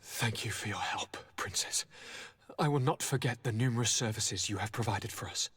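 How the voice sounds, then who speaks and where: An actor playing a sick young nobleman governor in a video game, formal, theatrical and pleading, with a breathy, suffering delivery. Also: medium pitch, normal speed, fairly bright tone. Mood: pained, pleading. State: suffering, weakened by sickness. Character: ailing young nobleman governor, courteous, anguished, devoted. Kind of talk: dramatic video game acting. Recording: long hall-like reverb.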